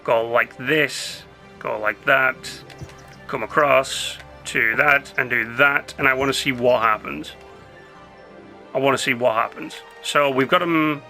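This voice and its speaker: A young man giving rambling, casual commentary while playing a city-building game, his voice going hoarse. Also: medium pitch, measured pace, pushed and bright, soft background music. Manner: chatty, rambling, self-interrupting. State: losing his voice. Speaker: gameplay commentator.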